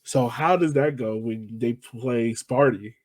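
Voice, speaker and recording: A man's voice: mid-range voice, slow, a little mellow, no room sound. A man with an American accent hosting a casual interview, informal and slangy, often repeating his phrases. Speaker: podcast host.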